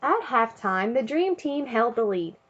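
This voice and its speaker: A woman reading a children's picture book aloud in an expressive way, chanting refrains and sound words. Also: a little high, unhurried, dull audio. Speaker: storybook reader.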